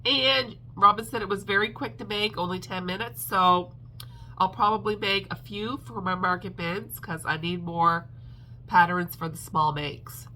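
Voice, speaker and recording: Slow, bright-voiced congested woman vlogger. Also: medium pitch, fairly even intonation, slight background noise, poor audio. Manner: chatty, rambling, laughs often, many ums. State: congested, stuffed up. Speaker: crochet vlogger. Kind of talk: casual chat to camera. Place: at home.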